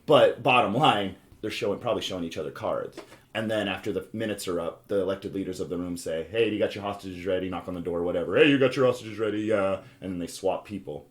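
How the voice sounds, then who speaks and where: A man hosting a casual board game podcast, chatty and quick to interject and prompt the others. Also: low-pitched. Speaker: podcast host.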